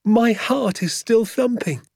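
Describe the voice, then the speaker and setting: A man's voice: extremely high pitch, animated, takes it slowly, gravelly, a little mellow, breathy edge, dry recording, clean studio sound, voice pushed up, full character voice. A man voicing an earnest, doubtful young son in a storybook, with a questioning manner. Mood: excited.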